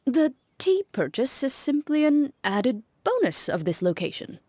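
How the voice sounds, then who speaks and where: A woman playing a composed, reserved, dry-witted character in an English fantasy video game, speaking in a measured, understated way. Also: high voice, big pitch swings, a little mellow, dry recording, full character voice. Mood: matter-of-fact.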